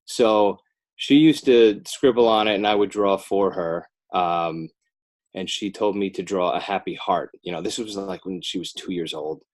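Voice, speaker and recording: An American man chatting casually as a podcast guest, often rambling, halting and correcting himself as he goes. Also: low-pitched. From Northern New Jersey, United States. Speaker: podcast guest, children's book author. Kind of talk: casual podcast interview chat.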